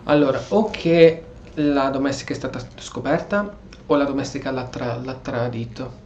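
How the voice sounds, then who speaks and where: Male gaming host from Italy, average pitch, casual role-play commentary, light background noise. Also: fairly quick, darkish tone, muffled recording. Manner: reacts aloud, answers game characters. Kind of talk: casual Italian-speaking let's play commentary, role-playing. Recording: faint game ambience behind. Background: video game audio.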